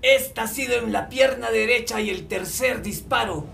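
Gravelly, high-voiced cruel gunman played by a man. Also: moderate pace, far from the mic, noisy background, full character voice. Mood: cold, menacing. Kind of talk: radio drama acting.

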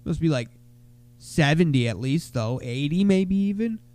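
A young man co-hosting a casual podcast, stuffy from a cold, talking in loud, crude, slangy banter. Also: on the high side, animated, unhurried. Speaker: podcast co-host.

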